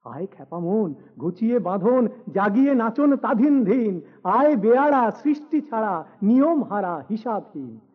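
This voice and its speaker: A man reciting rhymed poetry in an animated, theatrical and whimsical manner. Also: high-pitched, measured pace, phone-quality sound.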